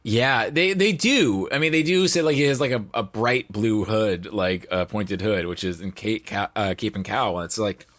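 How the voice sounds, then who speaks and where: A man's voice: fairly low pitch, normal speed, hardly any pauses, a bit bright, no echo. A man talking casually and emphatically about pop culture as a podcast guest.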